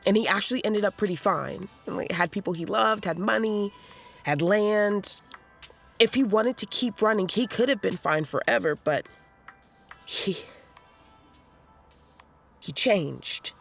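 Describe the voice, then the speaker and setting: A young American woman playing a restless, self-searching young woman in an audio drama, halting and conversational. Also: mid-range voice, lively intonation, vocal fry, a bit bright, faint music behind, full character voice. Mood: earnest, searching. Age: young adult. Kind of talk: naturalistic audio drama acting.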